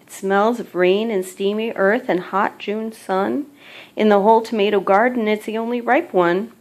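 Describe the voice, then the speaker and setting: A woman reading a children's picture book aloud as a mother would, in measured, expressive storytelling. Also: medium pitch.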